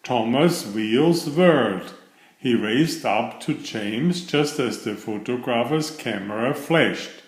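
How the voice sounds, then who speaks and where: A male narrator reads a children's story expressively. His pitch moves up and down a lot while his pace stays slow and deliberate. The recording has a noticeable room echo. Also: lively intonation. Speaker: children's book reader. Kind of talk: storybook read-aloud narration. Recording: roomy, echoing voice recording.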